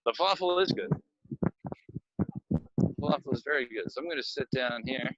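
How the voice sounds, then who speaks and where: Bright-voiced man guiding a walking tour. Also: medium pitch, normal speed, no room sound. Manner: rambling, chatty, self-interrupting. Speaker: tour guide. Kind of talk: live virtual walking tour, casual chat. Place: outdoor city street and cafe. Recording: dull, lo-fi video call audio.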